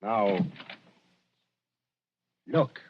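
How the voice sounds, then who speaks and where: An actor in a 1940s radio drama playing a respectable, harried nineteenth-century London doctor, formal and wryly polite. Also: male voice, on the low side, animated, very slow, a little hiss or hum. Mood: grimly resigned. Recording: muffled vintage 1940s radio recording.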